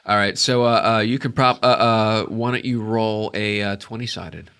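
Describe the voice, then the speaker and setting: Congested male game master, bright low voice, halting and full of fillers. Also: fairly even intonation, clean tone. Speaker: dungeon master. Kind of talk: narrating a tabletop game.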